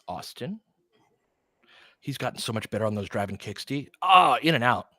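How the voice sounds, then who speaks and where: A man's voice: mid-range voice, big pitch swings, normal speed, pushed and bright, no room sound, studio quality. A man hosting a casual fan livestream, calling basketball in short clipped phrases mixed with chatty asides. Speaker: play-by-play host.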